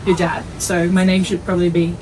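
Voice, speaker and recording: A woman's voice: fairly low pitch, completely flat delivery, a little fast, a crowd in the background, low-quality recording. A young adult woman with an Australian accent, originally from Iceland, chatting casually and informally as an athlete being interviewed. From Iceland, raised in Australia from age three. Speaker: netball player being interviewed.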